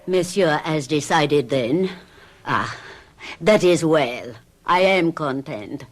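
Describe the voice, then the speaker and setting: A menacing old witch, her pitch sitting low but swinging widely as she slowly coaxes and commands. Her delivery is slow and theatrical. It is an old-time radio play recording. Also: expressive, full character voice. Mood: sinister. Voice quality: theatrical, rising to shrill cries. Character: sinister old woman, witch mother, commanding. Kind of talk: 1970s radio drama acting. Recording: old radio drama with music.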